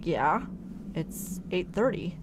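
A woman's voice: on the low side, big pitch swings, slow, background noise. A woman playing a worried, caring character in a horror audio drama, speaking with urgency. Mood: alarmed, concerned. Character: worried, caring woman, alarmed for her friends.

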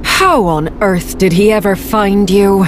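A woman's voice: medium pitch, slow, natural voice. An actress playing an imperious, impatient female crook, haughty and demanding, in an audio drama. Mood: impatient, scolding. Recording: faint car noise behind.

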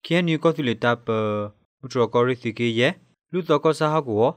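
A man's voice: medium pitch, moderate pace. A man reading scripted radio news. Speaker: news reader.

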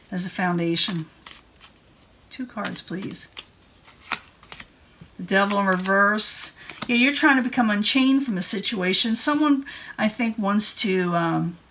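A woman's voice: mid-range voice, fairly bright tone. A woman giving a casual tarot card reading in an informal, rambling way. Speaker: tarot reader. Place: indoor room at a table.

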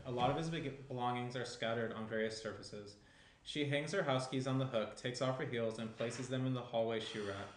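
A young man reading scripted screenplay narration as the narrator. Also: mid-range voice. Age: young adult. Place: small indoor room at a round table. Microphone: shared desk microphone. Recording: roomy echo, faint noise.

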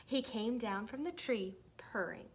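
A young woman reads a children's picture book aloud in an expressive, deliberate way for young listeners. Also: fairly high pitch, breathy edge. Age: young adult. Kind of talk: storybook read-aloud. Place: small decorated room indoors.

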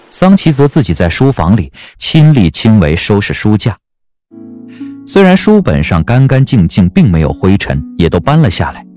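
A man's voice: sits low, darkish tone. A man narrating a scripted audio drama in a dramatic storytelling style. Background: background music.

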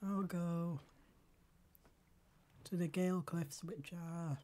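Slow, hoarse woman with a cold. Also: lowish voice, steady tone, dark tone. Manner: slow, halting, muttering asides to herself. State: hoarse from a cold, clearing throat often. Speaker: game streamer. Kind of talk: casual live game commentary.

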